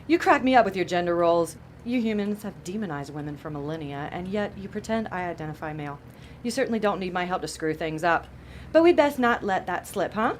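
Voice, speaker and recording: A woman's voice: on the low side, lively intonation, a little fast, slight background noise, close to an everyday voice. A woman playing a sardonic, bossy female devil who runs an office in a comedy audio drama. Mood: wry, brisk. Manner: bossy, sarcastic, exasperated.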